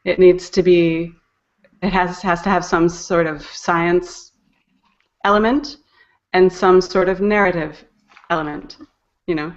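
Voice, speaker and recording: A woman's voice: fairly low pitch, fairly even intonation, measured pace, pauses often, highs cut off. A woman talking casually as an interview guest, hesitant and thinking aloud as she goes. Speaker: guest, poetry podcast editor and narrator.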